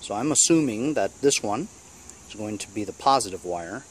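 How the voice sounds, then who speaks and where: Male DIY host, lowish voice, informal and halting, explaining as he works, with clear background noise and insect chirps. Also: lively intonation. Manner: halting, thinking aloud, often tagging "you know". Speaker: host of a DIY repair vlog. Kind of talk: casual hands-on tutorial narration.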